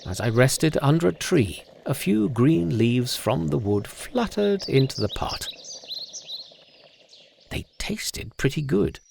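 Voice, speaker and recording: A man playing a bold, comical hero of ancient legend who tastes herbs, with a gravelly voice and theatrical delivery. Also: medium pitch, sing-song, slow, a little mellow, slight background noise, heavily acted. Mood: proud. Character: Shen Nong, bold, comical ancient herb-tasting hero.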